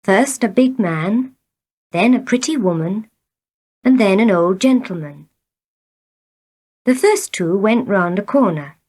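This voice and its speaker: A woman reading short tales as scripted narration, in a measured, deliberate manner. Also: medium pitch, lively intonation, a little mellow. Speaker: storybook reader.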